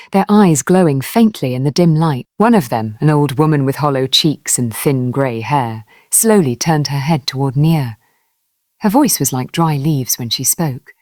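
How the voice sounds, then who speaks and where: A woman's voice: sits low, darkish tone, dry recording, studio quality. A woman telling a folktale in dramatic scripted narration. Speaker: storyteller.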